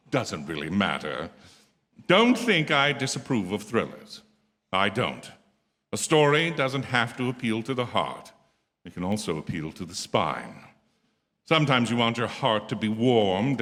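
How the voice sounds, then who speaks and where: An actor playing an urbane, wry star host introducing a 1940s radio thriller in theatrical, measured oratory. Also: male voice, medium pitch, a bit bright. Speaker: program host. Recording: echoey theatre hall with music underneath.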